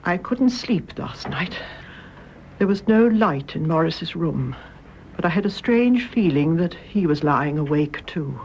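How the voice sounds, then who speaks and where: An actress playing a grave, loving elderly British mother in a radio drama, speaking in a measured, gentle, solemn way. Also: low voice, animated. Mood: calm, grave. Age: older. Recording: muffled radio recording, clear background noise.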